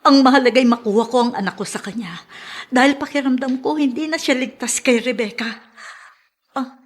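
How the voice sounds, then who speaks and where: A woman's voice: high-pitched, a little fast. A woman playing a worried mother in a radio drama, speaking in an anxious, hesitant manner. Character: Mariana, worried mother fighting for her child. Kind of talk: Filipino radio drama acting.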